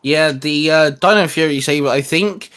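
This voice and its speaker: Young guy, bit of a high voice, hyped up, swears and laughs a lot. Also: normal speed, clean tone. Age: young adult. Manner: excitable, swears casually, laughs often. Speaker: co-host. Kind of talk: casual podcast chat.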